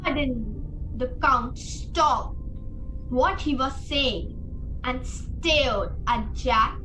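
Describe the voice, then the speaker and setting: A woman's voice: sits high, very slow, over music, low-quality recording. A woman reading scripted narration for a radio play.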